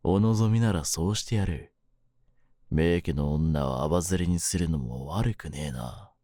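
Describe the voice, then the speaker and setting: A man playing a menacing young mafia kidnapper in an intimate voice drama, speaking low with rough, smug, teasing words. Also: measured pace, no echo, clean studio sound. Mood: quiet menace. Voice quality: low-pitched, hushed at menacing moments. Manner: rough masculine speech, smug mock politeness. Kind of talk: ASMR situation voice drama, intimate one-sided roleplay.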